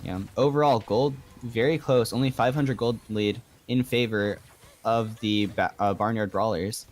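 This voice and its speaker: A man doing play-by-play commentary on a live video game match, with a delivery that gets excitable when calling action. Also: lowish voice, unhurried.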